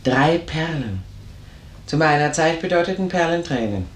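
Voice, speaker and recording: An actress playing a sorrowful, resigned older woman paying a visit in a radio drama, gentle in manner. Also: deep voice, animated, normal speed, echoey room. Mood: sociable, wistful.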